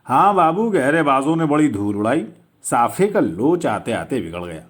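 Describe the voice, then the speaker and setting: A man voicing a humble, gentle young money-changer in a storybook, with playful, self-deprecating banter. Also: mid-range voice, very animated, moderate pace, close to an everyday voice. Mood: lively. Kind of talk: storybook character dialogue.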